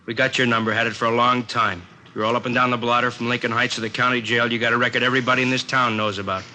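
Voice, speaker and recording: Gruff, forceful, quick-talking police captain. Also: male voice, mid-range voice, little pitch movement. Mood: stern, accusing. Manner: blunt, authoritative, hard-boiled. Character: gruff, tough, commanding male police captain. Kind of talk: 1950s radio crime drama acting. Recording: noisy old radio drama recording.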